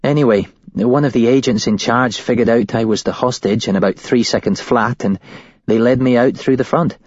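A man's voice: mid-range voice, normal speed, a little mellow, breathy edge, dry recording, dull audio, pitched above the performer's own voice, natural voice. A man voicing a shaken young woman who was held hostage, recounting it shakily and at a tumble. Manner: shaky, tumbling recollection.

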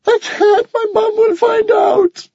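A man's voice: very high voice, expressive, a little slow, no room sound, muffled recording, voice pushed up. A man voicing a terrified, sobbing young boy who pleads.

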